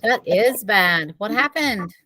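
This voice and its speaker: A woman's voice: medium pitch, animated, slow pace, sharp tone, slight background noise. A woman playing a wry, teasing but caring mother in a storybook reading. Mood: wry concern.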